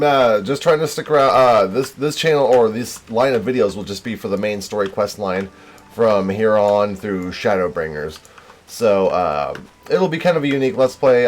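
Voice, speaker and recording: Low-voiced man rambling on a livestream. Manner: rambling, self-deprecating asides. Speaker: game streamer. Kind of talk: casual livestream commentary on a video game.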